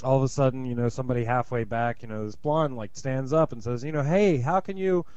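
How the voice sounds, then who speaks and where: A man telling a joke in a halting way, full of ums and pauses. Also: mid-range voice, steady tone, a little mellow, clear, resonant tone, dry recording, dull audio.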